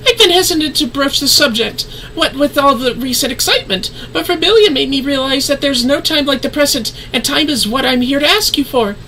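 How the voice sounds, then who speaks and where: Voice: sits high, animated, a little fast, few pauses, pushed and bright, slight background noise, voice pushed up, heavily acted, low-quality recording. A woman voicing an eager, earnest tiny young receptionist from a fantasy game, with formal yet bubbly delivery. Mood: earnest, determined.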